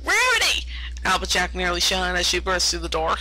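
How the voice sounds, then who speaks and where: A woman's voice: on the low side, little pitch movement, a little fast, sharp tone, poor audio. A woman narrating fan fiction aloud expressively, often stumbling over and repeating words.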